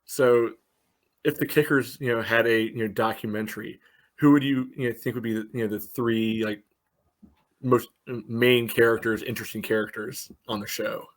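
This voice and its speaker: A man's voice: medium pitch, steady tone, normal speed. A man co-hosting a soccer podcast in casual chat, talking hesitantly and peppering his speech with "you know".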